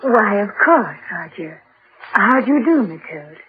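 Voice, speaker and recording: A woman's voice: medium pitch, sing-song, unhurried, husky, full character voice. An actress playing a sophisticated female android posing as a wife in a radio drama, with polished, gushing social manners. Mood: gracious. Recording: muffled, phone-like radio recording, faint noise.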